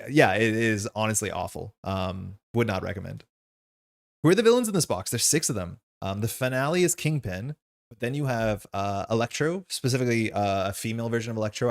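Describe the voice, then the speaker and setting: A man with a gravelly, fairly low voice hosts a relaxed card-game session, whose first language is Slovenian. He chats in a loose, rambling way, full of asides and fillers. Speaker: host. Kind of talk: casual chat while playing a card game.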